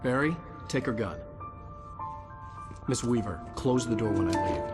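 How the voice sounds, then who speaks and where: A haunted, determined male novelist tells his story in a horror game. His delivery is grave and deliberate, and loud music plays underneath. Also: on the low side, lively intonation. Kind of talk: scripted dramatic game narration.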